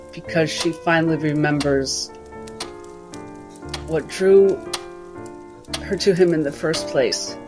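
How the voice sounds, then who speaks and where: A woman playing a tabletop game, improvising her character's thoughts in the third person in a reflective, wry way. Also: low-pitched. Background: background music.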